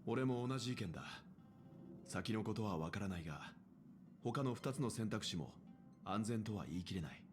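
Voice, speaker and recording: A man voicing a serious, reserved young companion in an anime video game, speaking in a measured, stoic way. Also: lowish voice, animated, over music, close to an everyday voice. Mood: calm, serious.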